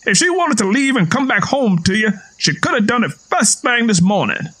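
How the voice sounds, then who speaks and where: Man voicing a high-pitched, taunting Southern man. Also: big pitch swings, normal speed, sharp tone, heavily acted. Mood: defiant. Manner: folksy, taunting, argumentative.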